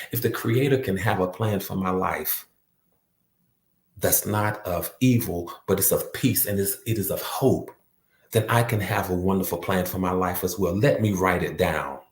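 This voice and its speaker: A man's voice: fairly low pitch, echoey room. A man giving a motivational talk with a repetitive, preacher-like cadence. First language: not French. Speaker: motivational speaker.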